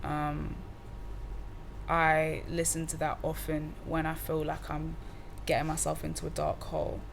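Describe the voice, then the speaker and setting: A woman's voice: low voice, monotone, measured pace, creaky, slight background noise. A young woman from Cardiff, chatting casually in an interview with loose slang, fillers and restarts. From Cardiff, Wales. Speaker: musician guest.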